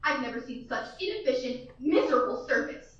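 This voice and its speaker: A shrill, demanding invalid woman, played by a student actress in a school radio play, stammering and fretting. Her high voice comes from far off the mic in a very echoey room. The sound is dull and muffled. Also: animated, brisk. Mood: indignant. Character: nervous bedridden wealthy woman, shrill. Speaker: student actor.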